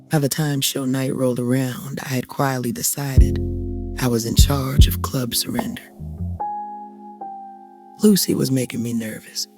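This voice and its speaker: A middle-aged woman narrating a scripted first-person story in a deliberate, poetic, confiding way with emphatic pauses. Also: low voice, a little mellow, no echo, clean studio sound. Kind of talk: dramatic scripted audio storytelling.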